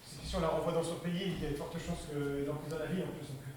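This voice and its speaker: An actor in a live improv show playing a frustrated, hotheaded young activist who bursts into shouted rants. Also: male voice, fairly high pitch, fairly even intonation, rapid-fire, a little hiss or hum, close to an everyday voice. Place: theatre stage. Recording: echoey stage from a distance.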